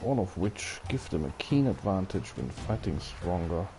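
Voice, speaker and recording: A man's voice: sits low, big pitch swings, moderate pace, noisy background. A man doing gameplay commentary, reading on-screen tutorial instructions aloud as he plays. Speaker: gaming video host. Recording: game music behind voice. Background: video game music.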